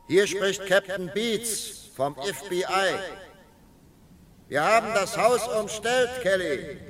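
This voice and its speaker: An actor playing a confident, commanding FBI captain in an old radio crime drama. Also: male voice, high-pitched, fairly bright tone, exaggerated voice. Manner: commanding, brisk. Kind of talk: vintage radio drama acting.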